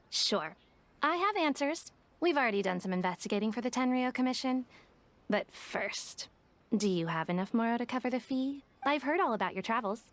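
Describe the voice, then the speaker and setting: Female detective boss, shrewd, businesslike, high-pitched, expressive swings, anime game. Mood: coolly shrewd. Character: shrewd, confident, money-minded female detective agency boss. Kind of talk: anime game voice acting, storytelling.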